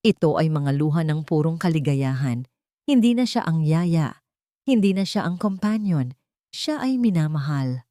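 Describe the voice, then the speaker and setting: A woman's voice: low voice, very animated, moderate pace, mellow, no room sound, clean studio sound. A woman reading scripted, dramatic story narration.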